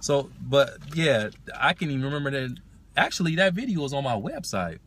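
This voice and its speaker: A man hosting a language-learning livestream, chatting casually and answering comments, circling back to restate his points in a halting way. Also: mid-range voice, big pitch swings, fairly bright tone.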